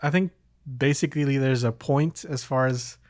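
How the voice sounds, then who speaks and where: A man's voice: medium pitch, steady tone, moderate pace, dry recording, studio quality. A man chatting casually as a podcast host, hesitant and rambling as he thinks aloud. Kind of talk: casual chat.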